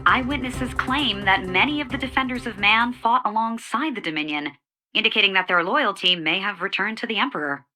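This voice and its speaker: A woman playing a formal, brisk news reporter reading a scripted bulletin in a video game. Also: mid-range voice, bright, forceful tone, soft background music. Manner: crisp, formal.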